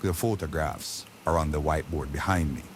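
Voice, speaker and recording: An actor playing a police commander giving officers a brisk, commanding briefing before a night raid in a skit. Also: male voice, very deep voice, noisy background. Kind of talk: comedy skit acting.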